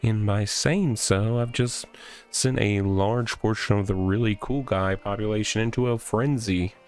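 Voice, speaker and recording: A man voicing a smug, boastful tough guy from a visual novel, with pompous, drawn-out, theatrical delivery. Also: on the low side, steady tone, a little mellow, smooth. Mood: flustered. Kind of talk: visual novel character read aloud. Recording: music behind the voice.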